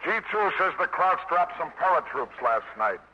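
An actor playing a no-nonsense American squad sergeant, clipped and businesslike, in a 1950s radio drama. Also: male voice, a little high, expressive, normal speed, lightly acted. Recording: noisy, dull 1950s radio recording.

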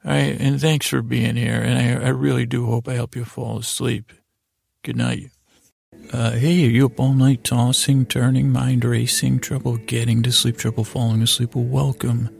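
A man hosting a sleep podcast with a rambling, halting bedtime monologue in a gravelly, creaky voice, often trailing off mid-sentence. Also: mid-range voice, level tone, a little mellow, no room sound. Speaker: podcast host.

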